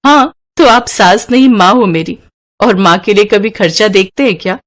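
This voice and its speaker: A woman's voice: medium pitch, animated, moderate pace, lightly acted. A woman voicing a meek, hopeful young daughter-in-law in a cartoon moral story, with an earnest, deferential way of talking. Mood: affectionate.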